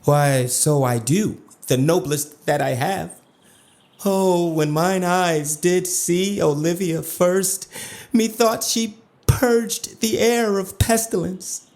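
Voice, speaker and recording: An actor playing a lovesick, grandiose duke in a classical audio drama, with languid declamatory verse. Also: male voice, high-pitched, slow, a little mellow. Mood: eager, impassioned. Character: lovesick, grandiose, self-indulgent male duke.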